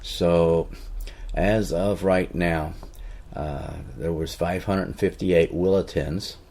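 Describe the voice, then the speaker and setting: A man's voice: low voice, steady tone, slow pace, a little mellow. A man hosting a casual podcast, rambling a little with fillers and restarts. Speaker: podcast host.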